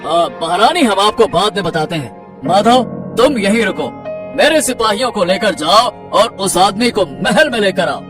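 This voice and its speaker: A man's voice: high voice, expressive, moderate pace, over music. A man voicing a concerned, commanding king in a Hindi cartoon folktale, with an authoritative, questioning manner. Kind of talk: Hindi cartoon moral story acting.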